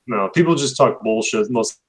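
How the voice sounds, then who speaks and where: A man's voice: lowish voice, expressive, normal speed. A young man hosting a casual chat, rambling with many fillers and laughing often. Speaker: host.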